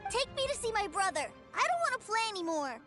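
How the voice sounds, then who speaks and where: A woman's voice: extremely high pitch, very animated, fast talker, sharp tone, soft background music, full character voice. A woman voicing a small, innocent, eager childlike character in a video game, with exclamatory delivery. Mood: whiny.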